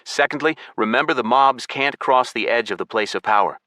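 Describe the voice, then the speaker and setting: A male narrator plays a serious young hero in a fantasy audiobook. He gives instructions firmly and earnestly, in a bright, forceful voice recorded close and dry. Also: medium pitch, a little fast, no room sound, pitched above the performer's own voice, lightly acted. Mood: firm, urgent.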